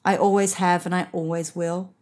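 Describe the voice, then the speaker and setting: A woman's voice: lowish voice, little pitch movement, normal speed, darkish tone, natural voice. A woman voicing a loving koala mother in a storybook, tender and affectionate, with a lilt. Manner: affectionate, lilting.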